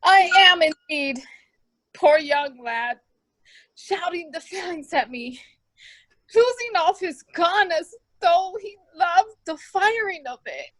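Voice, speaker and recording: Woman acting a very high-voiced, distraught servant. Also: lively intonation, slow, a bit bright, exaggerated voice. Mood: shaken, sorrowful. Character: distraught, wounded servant reporting a fatal shooting. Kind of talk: amateur murder mystery radio play acting.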